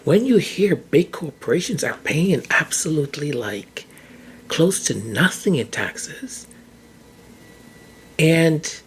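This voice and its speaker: A podcast host with a hoarse voice, talking through ideas in a casual monologue, often restarting and repeating words mid-thought. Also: medium pitch, animated, takes it slowly, a little hiss or hum.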